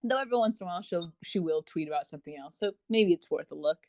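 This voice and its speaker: A woman's voice: fairly low pitch, expressive, fast talker, a little hiss or hum. A woman co-hosting a casual review podcast in a wordy, tongue-in-cheek way. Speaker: podcast co-host. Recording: dull, dry lo-fi voice recording.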